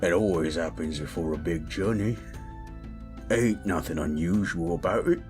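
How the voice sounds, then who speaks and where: A man voicing a big, gentle, chatty orc in a soothing roleplay audio, with a folksy, rambling, rustic way of talking. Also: deep voice, normal speed, soft background music, natural voice. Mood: gentle, reassuring. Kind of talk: soothing character roleplay audio addressed to listener.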